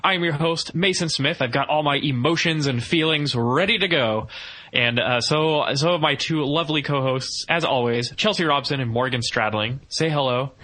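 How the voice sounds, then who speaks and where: A man's voice: on the high side, moderate pace, sharp tone. A man hosting a podcast in an upbeat, jokey, enthusiastic way, mixing banter with review talk. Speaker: podcast host.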